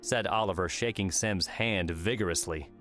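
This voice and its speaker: A man's voice: low voice, normal speed. A man reading scripted audiobook narration as the narrator of a novel. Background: soft music.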